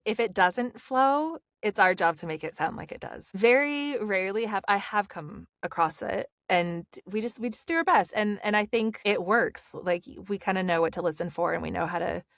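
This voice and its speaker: A woman's voice: mid-range voice, moderate pace, vocal fry, hardly any pauses, no room sound, studio quality. A woman with a standard Pacific Northwest American accent, an audiobook narrator chatting animatedly in a podcast interview, with lots of fillers. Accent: General American, Pacific Northwest. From Pacific Northwest, USA. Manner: animated and talkative, many fillers.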